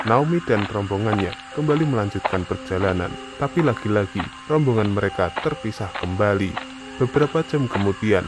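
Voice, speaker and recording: A man's voice: lowish voice, background noise. A man narrating a scripted story for a horror animation.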